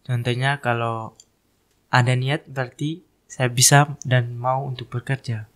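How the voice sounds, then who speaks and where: Hesitant teenage boy, even smooth voice. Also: medium pitch, unhurried. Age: teens. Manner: hesitant, speaks in short pieces. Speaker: high school student guest. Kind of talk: casual interview answers. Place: studio.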